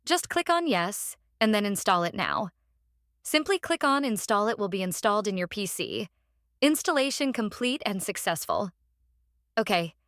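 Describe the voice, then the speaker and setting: A woman hosting a casual step-by-step software tutorial, instructing plainly and often checking in with the listener. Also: mid-range voice, dry recording, studio quality. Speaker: tutorial host.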